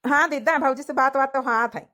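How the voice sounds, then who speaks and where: A woman's voice: high voice, a little fast, sharp tone. A woman voicing a doting, reassuring young village groom in a cartoon, coaxing and repetitive, with an Awadhi accent. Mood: cheerful. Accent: Awadhi, rural north India. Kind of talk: cartoon comedy dialogue.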